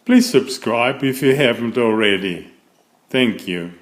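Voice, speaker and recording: Man, children's read-aloud narrator, unhurried, expressive, very varied intonation, echoey room. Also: medium pitch. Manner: deliberate, expressive storytelling for young children.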